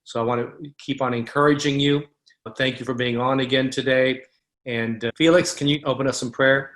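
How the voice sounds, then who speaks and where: Earnest male host, flat delivery. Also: medium pitch, normal speed. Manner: rambling, earnest. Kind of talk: ministry video call.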